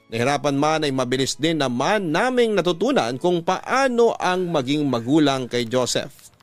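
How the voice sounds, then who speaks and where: A man playing an earnest young father who tells his love story in measured, sentimental first-person radio drama narration. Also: mid-range voice, no echo, close to an everyday voice.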